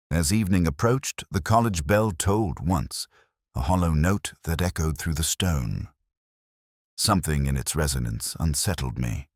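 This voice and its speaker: A man reading scripted first-person detective fiction in a measured, brooding, literary manner. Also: rumbling low, no echo, studio quality. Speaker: narrator.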